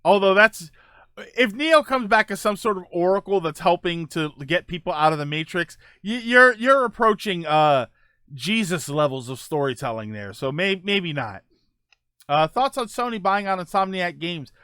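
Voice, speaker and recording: A man's voice: high-pitched, animated, normal speed, sharp tone, clear, resonant tone, no echo, studio quality. A man chatting casually as host of a live stream, rambling and joking as he answers viewers.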